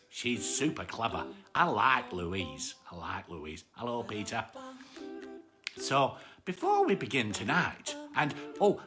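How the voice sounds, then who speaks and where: A woman voicing a cheerful, excitable male armadillo who hosts children's bedtime stories, effusive and playful, with a British accent. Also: deep voice, full character voice. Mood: confiding. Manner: effusive, repeats words, playful. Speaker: bedtime story host. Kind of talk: live children's storytime chat.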